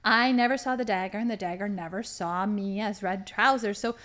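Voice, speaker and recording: A woman's voice: mid-range voice, brisk, a bit bright, lightly acted. A woman acting as a boastful, theatrical villain, a cheerfully murderous crime boss in a comedy audio drama. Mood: menacing. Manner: gleeful, boastful, dramatic monologuing.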